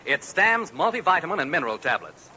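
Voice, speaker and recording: A man's voice: a little high, sing-song, fast talker, bright, forceful tone, a little hiss or hum, poor audio. A man reading a 1940s radio advertisement as announcer, with a polished, emphatic sales delivery. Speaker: commercial announcer.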